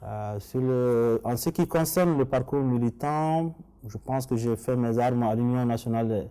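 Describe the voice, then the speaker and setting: A man's voice: medium pitch, moderate pace, warm tone, smooth. A middle-aged man from Mali with a Malian accent, giving earnest, formal answers as a political candidate in an interview. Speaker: election candidate being interviewed.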